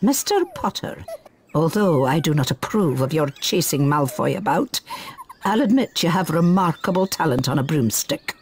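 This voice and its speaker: A woman voicing a stern, fair-minded older witch professor in a video game, formal and measured. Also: deep voice, expressive, a little mellow, a little hiss or hum. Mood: stern approval.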